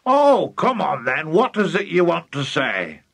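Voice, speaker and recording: A man voicing an excitable, scheming, overbearing father in a children's story, gushing and emphatic. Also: on the high side, a little slow, creaky, husky, no room sound, pitched above the performer's own voice, full character voice. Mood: bossy, excited.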